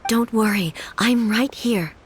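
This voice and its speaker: An actress playing a calm, regal female ruler in a video game, speaking in a measured, formal, reassuring manner. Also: a little high, slight background noise, natural voice.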